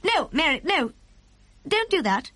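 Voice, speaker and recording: A woman's voice: high voice, very animated, unhurried, no echo, voice pushed up. A woman voicing a poised royal woman in a storybook, with a regal, clipped and commanding manner. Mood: sharp, commanding. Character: the Queen, poised older royal woman, sharp and imperious.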